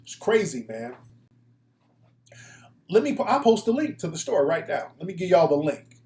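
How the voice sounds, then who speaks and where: Echoey, higher-voiced American man, blunt talk host. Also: big pitch swings, moderate pace, a little mellow. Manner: blunt, profane, slangy. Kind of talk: casual opinionated live talk show monologue. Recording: echoey room.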